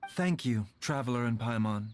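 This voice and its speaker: A man voicing a gentle, composed physician in a video game, speaking politely and formally. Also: medium pitch, expressive, moderate pace, background noise, close to an everyday voice. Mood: polite, explanatory. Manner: soft-mannered, formal, composed. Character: gentle, composed male physician, secretive and frail. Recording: music behind the voice.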